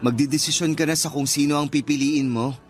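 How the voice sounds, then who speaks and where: Higher-pitched, kind young Filipino man acted out. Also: fairly even intonation, brisk, soft background music, natural voice. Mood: nervous, sincere. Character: kind, good-natured young male suitor, nervous but gracious. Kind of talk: Filipino radio drama acting.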